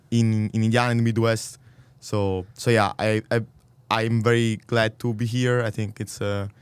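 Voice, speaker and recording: A man in his thirties with an Italian accent, a native Italian speaker, talking as a podcast guest in earnest, halting, restarted phrases. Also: on the low side, clean tone, no room sound. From Italy. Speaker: podcast guest, startup accelerator director. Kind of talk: casual interview conversation.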